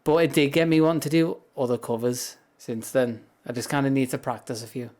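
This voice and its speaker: A man with a deep, grumbly voice chats casually and rambles on a livestream, speaking softly into a close, high-gain mic. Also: fairly quick. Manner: rambling, chatty, soft-spoken without projecting. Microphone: high-gain streaming mic up close.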